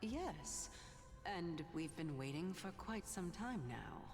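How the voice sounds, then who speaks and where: Solemn, lyrical game character, lowish voice, measured and theatrical, over prominent music. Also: female voice, very animated, darkish tone, exaggerated voice. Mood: calm, patient. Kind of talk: scripted video game voice acting.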